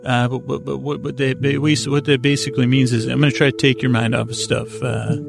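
Creaky-voiced man rambling soothingly. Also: medium pitch, little pitch movement, brisk, over music. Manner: hesitant, meandering, self-correcting; lulling, soothing. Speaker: sleep podcast host. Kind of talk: rambling bedtime monologue.

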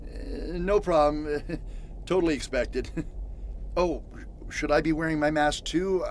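A man's voice: on the high side, takes it slowly, slight background noise. An actor playing a nervous, paranoid male patient who stammers and rambles, in old-time radio horror style. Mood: apologetic, paranoid. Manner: stammering, jittery, chatty.

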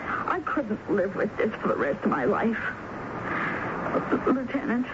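An actress playing a nervous, defensive typist under suspicion in a 1970s radio mystery, hesitant and stammering. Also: female voice, mid-range voice, measured pace, lightly acted. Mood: subdued, wistful. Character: nervous, defensive female typist accused of murder. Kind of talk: 1970s radio drama acting. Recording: noisy, dull 1970s radio broadcast.

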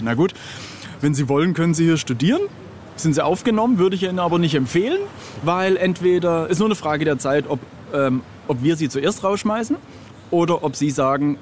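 A man in his late forties from southern Germany telling anecdotes casually as an interview guest, quoting others in direct speech. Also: a little high. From southern Germany (Swabia). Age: late 40s. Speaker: interview guest, freelance illustrator and cover artist. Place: outside at a street café in a city. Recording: ambient noise of an outdoor café.

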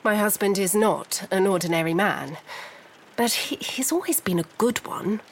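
A woman's voice: medium pitch, moderate pace, a little hiss or hum, close to an everyday voice. An actress playing a refined, determined, anxious wife of a missing man in a 1930s noir audio drama, formal and articulate. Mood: worried, earnest. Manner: formal, articulate, clipped politeness. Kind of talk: scripted period noir audio drama acting.

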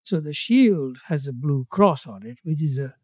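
A man in his eighties from Tanzania, a guest in an interview, speaking in a measured way with his voice falling away at the ends of phrases. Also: fairly high pitch, animated, no echo, clean studio sound. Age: 80s. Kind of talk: reflective interview conversation.

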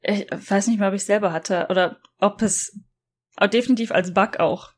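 A woman's voice: mid-range voice, moderate pace. Chatty young woman, laughing and candid. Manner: laughs often, swears casually, restarts sentences. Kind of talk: casual chat. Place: lives in Japan.

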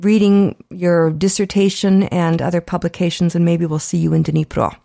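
A woman hosting a radio interview and reading the show's closing announcements, friendly and quick to laugh. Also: on the low side, flat delivery, vocal fry, mellow, no echo, studio quality. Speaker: radio host. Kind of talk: radio interview and show outro.

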